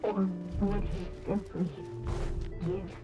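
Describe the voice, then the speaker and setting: A woman playing an ominous, taunting storybook narrator in a video game, slow and challenging. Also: medium pitch, little pitch movement, natural voice. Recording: dull game audio, music behind.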